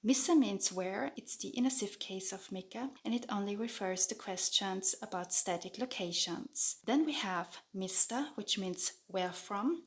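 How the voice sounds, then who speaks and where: A woman giving a scripted grammar lesson voice-over, speaking clearly and at a measured pace, with slow repetition of example sentences. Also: mid-range voice. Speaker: Finnish language teacher.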